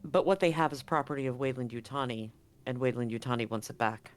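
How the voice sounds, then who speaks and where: A man's voice: medium pitch, steady tone, fast talker, close to an everyday voice. A man playing a cold, arrogant female corporate agent in a tabletop game, speaking with dismissive control. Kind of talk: tabletop roleplay acting. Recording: live stream with background noise.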